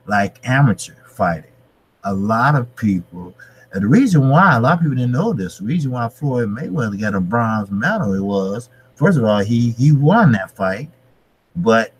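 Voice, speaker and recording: Man, fifties, husky, low voice, hesitant, casual interview guest. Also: poor audio. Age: 50s. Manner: hesitant, many fillers and restarts, laughs softly. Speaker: guest, boxing channel creator.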